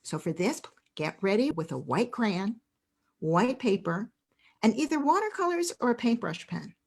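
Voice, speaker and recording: Female host, mid-pitched voice, expressive and encouraging, presenting creative tips to educators. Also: moderate pace, creaky.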